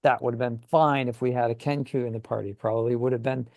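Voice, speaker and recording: A man's voice: mid-range voice, fairly even intonation, quick pace, vocal fry, darkish tone, no room sound, studio quality. A man co-hosting a gaming show, talking casually and enthusiastically as he thinks aloud. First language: English. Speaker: co-host of a tabletop gaming show. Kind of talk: casual conversational chat.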